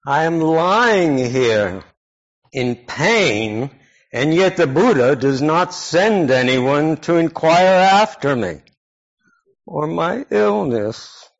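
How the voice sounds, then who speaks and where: A man playing an ailing, self-pitying holy man lying in bed, plaintive and drawn-out, in a dramatic scripture reading. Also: a little high, big pitch swings, gravelly, heavily acted. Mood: pained, aggrieved. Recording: dull, lo-fi sound.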